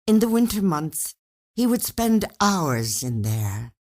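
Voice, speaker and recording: A woman with a low voice reads a short story aloud. Her delivery is slow and measured, yet the intonation moves widely and expressively through each sentence. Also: big pitch swings, darkish tone. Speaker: story reader. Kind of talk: scripted short story narration.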